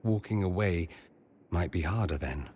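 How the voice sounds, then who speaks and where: Witty, theatrical young nobleman mage, lively swooping voice. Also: low-pitched, normal speed, slight background noise. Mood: guarded, earnest. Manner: theatrical, teasing, arch and ironic. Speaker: companion character in a fantasy role-playing game. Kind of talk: video game character dialogue. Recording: music under the voice.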